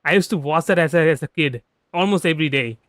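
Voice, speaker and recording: A man's voice: fairly high pitch, fast talker, a crowd in the background. A man chatting casually and ramblingly on a game livestream, stammering over words. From India. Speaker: VTuber game streamer. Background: game music and dialogue.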